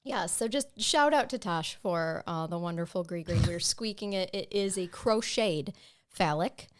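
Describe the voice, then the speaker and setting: A woman's voice: fairly low pitch, expressive, unhurried. A woman hosting a podcast, talking in a bubbly, chatty way that moves between ad reads and banter with her co-host. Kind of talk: casual chat.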